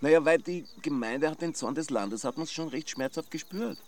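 A man's voice: fairly high pitch, moderate pace, close to an everyday voice. An actor playing a knowing male village local with an Austrian accent, explaining things colloquially and wryly in a radio crime drama. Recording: clear buzzing insect noise behind.

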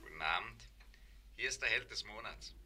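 A gentleman gangster speaking as if down a telephone. The tone is thin and extremely sharp, the voice husky and hushed, with smug mock modesty in old radio-play style. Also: on the low side, animated, moderate pace, full character voice. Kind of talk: 1950s German radio crime satire acting.

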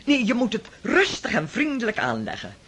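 An actor voicing a bossy, chatty elderly aunt in a radio drama, commanding and teasing with a husky voice. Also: male voice, very high-pitched, wildly swooping pitch, moderate pace, fairly bright tone, full character voice. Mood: gossipy.

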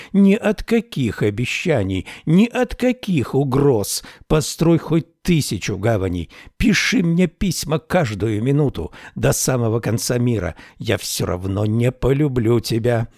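A proud, scornful woman ruler, voiced by a man reading aloud. She snaps back in short, defiant lines at a measured pace. The recording is dry and close. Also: medium pitch, sing-song, darkish tone, no echo.

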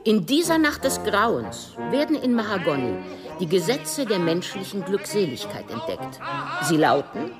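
A woman narrates a scripted radio feature in a mid-pitched voice. Her delivery is dramatic and deliberate, with animated rises and falls, and music plays behind her. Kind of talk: scripted narration of an opera synopsis.

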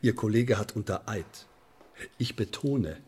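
An actor playing a menacing, sardonic Elizabethan English sheriff in a German radio drama, officious and mock-polite, with deliberate emphasis. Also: male voice, on the low side, expressive, a little mellow, a little hiss or hum. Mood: mock-polite menace.

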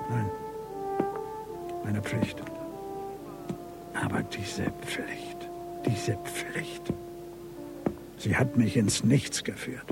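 A man's voice: medium pitch, gravelly, full character voice. An actor playing an embittered middle-aged father, grave and brooding, in a radio crime drama. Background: music.

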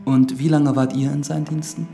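Radio drama priest: earnest church investigator, pious and formal, slightly gravelly voice, music underneath. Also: male voice, on the high side, fairly even intonation, fairly quick, warm tone. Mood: polite, probing. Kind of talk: German radio drama acting.

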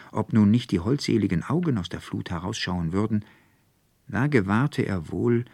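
A man's voice: fairly low pitch, gravelly, dry recording. A man reading a literary tale as an audiobook narrator, expressively and from a script.

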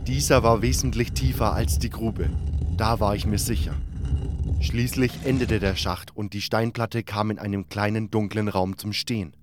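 A man's voice: on the low side, steady tone, normal speed, noisy background. A man narrating a scripted fantasy adventure in the first person, as a dramatic storyteller.

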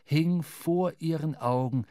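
A man narrating a literary adventure for radio, with measured, suspenseful dramatic delivery. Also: a little high, warm tone, no echo, clean studio sound.

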